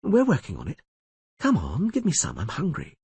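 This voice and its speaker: A man voicing a proud, quick-tongued sorceress in an audiobook reading, in a husky voice with a teasing, commanding manner. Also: on the high side, huge pitch swings, a little mellow, no room sound, voice pushed up, heavily acted.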